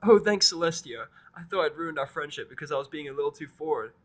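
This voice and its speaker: A man's voice: a little high, lively intonation, fast talker, voice pushed up. A man doing a giggly, eager young unicorn mare. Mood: relieved.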